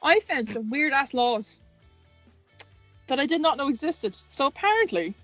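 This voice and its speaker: An Irish woman with a high voice chats casually and excitably as a co-host, gushing, giggling and swearing freely. The recording is close and dry but slightly dull and lo-fi. Music plays behind her. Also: normal speed, dry recording. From Ireland. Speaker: co-host of a fan tribute chat. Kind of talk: casual fan chat.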